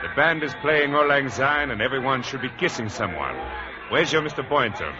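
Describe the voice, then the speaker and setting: Actor as a genial, animated party man. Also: medium pitch, a little fast, lightly acted. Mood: festive. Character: genial, sociable male party guest. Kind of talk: 1940s radio sitcom acting. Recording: muffled vintage radio broadcast, crowd and music.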